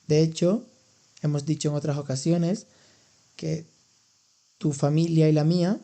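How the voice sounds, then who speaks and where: A man's voice: a little high, fairly even intonation, a little slow, gravelly, dark tone. A young man, hosting a casual language-learning podcast and explaining expressions patiently with examples. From Andalusia, Spain. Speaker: podcast host and language teacher. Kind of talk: casual educational chat.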